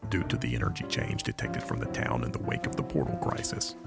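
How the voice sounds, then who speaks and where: Bottomless, gravelly, stern old inspector creature. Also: male voice, expressive, a little fast, over loud music, heavily acted. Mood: stern, formal. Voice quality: very deep, gravelly. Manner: formal, clipped, bureaucratic. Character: stern, officious old eyeball inspector from an afterlife council. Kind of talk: audio comedy drama acting.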